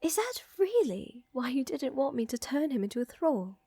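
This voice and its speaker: Woman as vampire countess, breathy whispers, close dry sound, tender, teasing, gently dominant, formal wording, intimate ASMR roleplay. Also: high-pitched, very animated, normal speed, no room sound, heavily acted. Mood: amused, affectionate.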